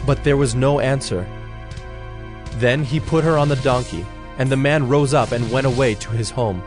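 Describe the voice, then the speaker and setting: A man narrating a dramatized scripture in a measured, solemn, scripted style. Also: mid-range voice. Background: background music.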